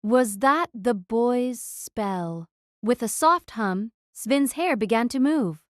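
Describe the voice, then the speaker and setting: A woman's voice: on the high side, expressive, slow, dry recording, clean studio sound. A woman reading scripted audiobook narration of a story as its narrator.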